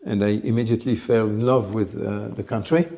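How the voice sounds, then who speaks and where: A man, telling his life story in an interview, measured and reflective, often pausing to find his words. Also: on the low side, steady tone, vocal fry, warm tone. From France. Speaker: company head, interview guest.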